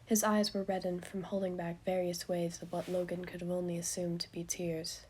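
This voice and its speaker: A woman getting over a cold, reading fan fiction aloud in a hushed, breathy voice. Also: on the low side, level tone, normal speed. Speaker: podfic reader.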